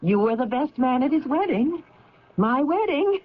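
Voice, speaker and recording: Arch, high-voiced insistent wife, old radio. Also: female voice, big pitch swings, moderate pace. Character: brisk, deluded wife searching for her husband. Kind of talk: old-time radio drama acting. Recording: dull lo-fi old radio recording.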